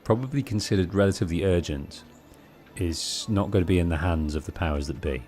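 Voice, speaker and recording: A British man with a deep, bassy voice giving measured, polite storytelling commentary as he streams a game, close on the microphone. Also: a little mellow, faint music behind. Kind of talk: let's play commentary, storytelling. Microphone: close bass-heavy streaming microphone.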